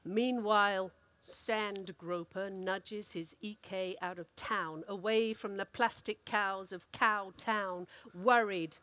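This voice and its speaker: A woman performing a dramatic, expressive reading of a comic story as an actor. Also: medium pitch, slow pace, no echo. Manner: theatrical, expressive delivery.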